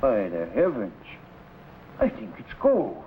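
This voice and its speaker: An actor playing an excitable frontier mill man, theatrical and exclamatory, in a 1950s adventure serial. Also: lowish voice, slow pace, background noise, exaggerated voice. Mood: amazed, elated. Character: excitable 1840s American frontier mill man striking gold. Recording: dull vintage broadcast under loud music.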